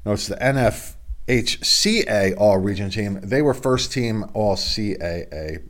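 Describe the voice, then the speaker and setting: Congested middle-aged sports host. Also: male voice, lowish voice, a little slow. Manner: chatty, rambling, self-deprecating. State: congested from a cold. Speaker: local sports podcast host. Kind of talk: casual solo sports report. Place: home studio. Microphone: video stream webcam setup.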